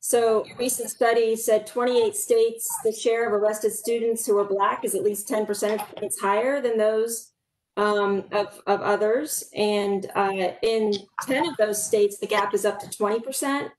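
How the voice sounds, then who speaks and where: A middle-aged woman hosting a public town hall over a video call, speaking earnestly with halting fillers from a room at home. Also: mid-range voice, steady tone. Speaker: town hall host, local supervisor. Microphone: video call webcam. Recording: echoey room, faint noise.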